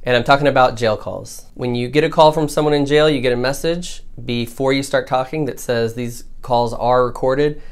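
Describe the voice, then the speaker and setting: A man's voice: medium pitch, normal speed, few pauses, smooth. An American man, a defense lawyer, presenting an informal legal explainer in a plain-spoken, colloquial, instructive way.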